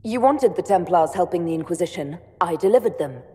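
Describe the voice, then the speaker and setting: A woman's voice: lowish voice, animated, fairly quick, mellow, slightly rough. A woman playing a firm, resolute young elf leader in a video game. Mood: composed. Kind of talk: video game dramatic acting.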